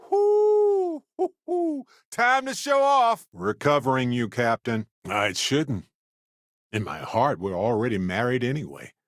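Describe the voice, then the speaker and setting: An actor playing a wry, adventurous, warm-hearted space explorer companion in a video game, speaking in an expressive, conversational way. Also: male voice, medium pitch, dry recording, clean studio sound. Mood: excited, then wry, casual.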